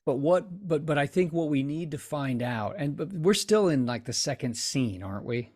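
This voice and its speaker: A middle-aged man playing a tabletop game, casually reasoning aloud with halting restarts. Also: a little high, expressive, darkish tone. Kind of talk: casual improvised game discussion. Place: indoors on a video call.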